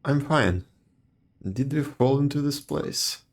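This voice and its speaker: A man's voice: medium pitch, slow pace, creaky. A man streaming a video game, reading out dialogue choices and commenting on the story.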